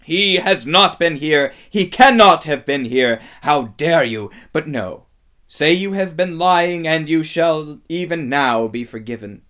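A man's voice: a little high, lively intonation, measured pace, a bit bright, voice pushed up. A man voicing an imperious, furious evil witch queen from a storybook, commanding and haughty. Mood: enraged. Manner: commanding, explosive, haughty interrogation. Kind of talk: storybook character voice.

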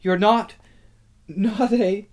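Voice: medium pitch, animated, moderate pace, warm tone, voice pushed up, close to an everyday voice. A storybook narrator voicing a nervous, cautious, questioning older girl. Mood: uneasy.

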